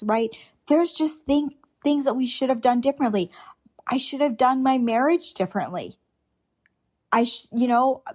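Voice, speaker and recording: A middle-aged woman hosting a solo podcast, talking earnestly and unscripted, with restarts and rhetorical questions. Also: fairly high pitch. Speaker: podcast host. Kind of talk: reflective solo podcast monologue.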